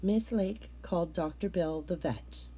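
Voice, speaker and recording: A woman reading a children's picture book aloud as a narrator, in a measured, expressive storytelling manner with drawn-out words. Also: fairly low pitch, slight background noise.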